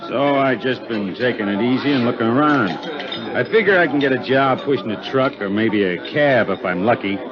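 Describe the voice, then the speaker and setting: An actor playing a wary small-time ex-convict with slangy, offhand, guarded talk in a 1950s radio drama. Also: male voice, medium pitch, normal speed, lightly acted. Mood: easygoing. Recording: dull 1950s radio recording with music.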